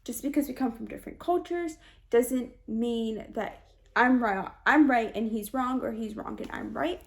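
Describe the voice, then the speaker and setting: A young woman from Hawaii giving an earnest, reflective self-introduction for a class, with small restarts and soft laughs. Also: a little high, normal speed, vocal fry. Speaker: student introducing herself.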